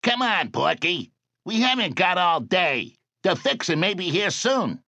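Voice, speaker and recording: A man's voice: high-pitched, sing-song, unhurried, creaky, pushed and bright, no room sound, dull audio, exaggerated voice. A man playing a tough, impatient gangster with a streetwise, bossy manner in a comic-book audio drama. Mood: impatient, scornful.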